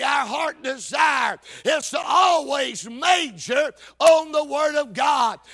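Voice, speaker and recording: Shouting, high-pitched gravelly male preacher. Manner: emphatic, declamatory delivery in short bursts. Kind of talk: fervent evangelical sermon with scripture reading and prayer. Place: church service.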